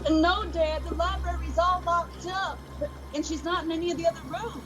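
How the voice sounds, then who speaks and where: Casting: a woman to play a dutiful, keen young son for radio drama, extremely high voice over music. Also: steady tone, normal speed. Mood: anxious, excited. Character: eager young boy, obedient son. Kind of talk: theatrical radio play acting.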